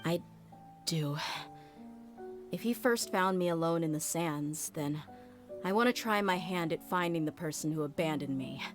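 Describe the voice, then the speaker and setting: A woman playing a tough, frank, warm-hearted young desert mercenary in a video game. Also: low voice, normal speed, pauses often, lightly acted. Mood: resolved. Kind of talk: video game dialogue acting. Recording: game music behind the voice.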